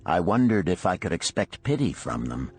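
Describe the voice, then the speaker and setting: A deep-voiced man narrates an old-fashioned tale in the first person. His delivery is formal and dramatic, and faint music plays behind him. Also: sing-song, normal speed, slightly rough. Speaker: audiobook narrator.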